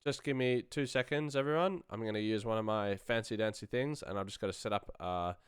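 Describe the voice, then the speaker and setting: A man's voice: fairly low pitch, a little fast, smooth, no room sound, studio quality. A man talking informally and enthusiastically on a livestream, thinking aloud as he works through sound design. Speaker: sound designer streaming a live work session. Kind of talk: casual livestream chat and tutorial.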